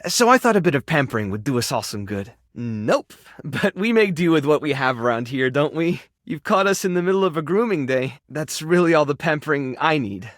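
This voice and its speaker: A man playing a kind, modest, overworked animal rescue keeper in a video game, speaking in a natural, soft-hearted and hesitant way. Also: on the high side, expressive, a little fast, no echo, studio quality. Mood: friendly, caring. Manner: soft-hearted, self-effacing, hesitant with small sighs and chuckles. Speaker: voice actor in a dating game. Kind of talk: video game character dialogue, natural and conversational.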